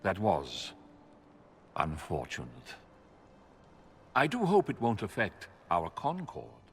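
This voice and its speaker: A languid, understated gentleman in a fantasy game. His deep voice drawls slowly with expressive swings. There is faint noise behind it. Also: breathy edge, heavily acted. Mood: dry, smooth. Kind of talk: video game cutscene acting.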